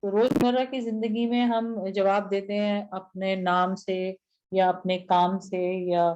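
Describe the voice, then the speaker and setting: A woman reads a spiritual passage aloud in an unhurried, measured way, then explains it to her class. Her voice is dark and mellow. It comes through a muffled, lo-fi online call. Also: medium pitch, level tone. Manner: slow, measured delivery. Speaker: class reader. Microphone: video call. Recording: dull lo-fi online call audio.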